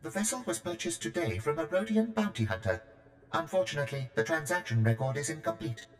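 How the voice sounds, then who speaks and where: A traffic control robot for a video game, courteous and official. The pitch swings extremely from word to word in a middle register, and the voice echoes with music behind it. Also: male voice, big pitch swings, fast. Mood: formal, helpful. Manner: formal, procedural announcements. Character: polite male spaceport traffic control droid.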